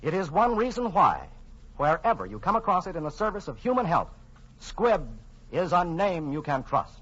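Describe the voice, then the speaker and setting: A man's voice: fairly high pitch, very animated, moderate pace, noisy background. A man reading scripted sponsor messages and credits as a 1940s radio announcer, formal and emphatic. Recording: muffled 1940s radio recording.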